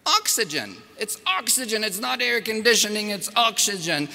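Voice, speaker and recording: A middle-aged man from Moldova with a Russian accent, a native Russian speaker, giving an animated, jokey conference presentation at a podium mic in a large ballroom. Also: high-pitched, normal speed, gravelly. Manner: animated, jokey, rising loud on rhetorical questions. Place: large hotel ballroom with audience. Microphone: podium mic, filmed by far camera. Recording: echoey ballroom with long reverb.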